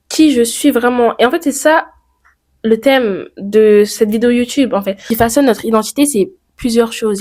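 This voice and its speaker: A woman's voice: mid-range voice, a little mellow. A teenage girl from France, talking to her camera in a personal vlog and thinking aloud with many fillers and rhetorical questions. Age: teens. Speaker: YouTuber.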